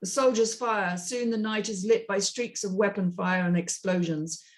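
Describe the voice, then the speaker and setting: A woman's voice: medium pitch, steady tone, moderate pace. Female narrator for a script table read, middle-register voice, reads scene directions. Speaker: script reading host and narrator. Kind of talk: reading screenplay action lines.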